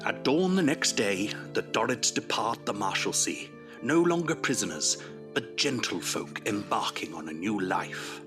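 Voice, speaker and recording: A male actor as the storyteller of a Victorian audio drama, reading scripted narration in a measured, grave, literary way. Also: fairly low pitch, very animated, music in the background. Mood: solemn.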